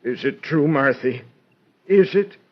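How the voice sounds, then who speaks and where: Husky, stunned country husband. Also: male voice, on the high side, expressive, takes it slowly. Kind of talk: old-time radio drama acting. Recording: muffled old radio recording.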